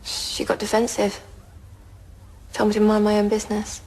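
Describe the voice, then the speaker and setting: A woman's voice: mid-range voice, completely flat delivery, moderate pace, a little mellow, close to an everyday voice. An actress with an English accent playing a guarded, loyal teenage schoolgirl, reluctant as she answers questions in an audio drama. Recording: faint background ambience.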